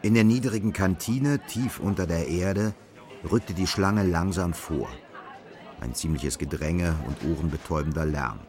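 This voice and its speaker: A man's voice: deep voice, measured pace, a faint crowd. A man narrating a literary radio drama, telling a dystopian story in a sober, scripted manner. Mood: measured, sober.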